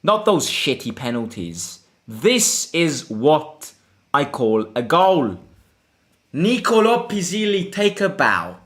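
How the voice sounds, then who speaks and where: A young man from Italy hosting a live football watchalong. He chats casually, answers questions from viewers and breaks into excited reactions to the play. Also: fairly high pitch, takes it slowly. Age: young adult. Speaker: fan channel host.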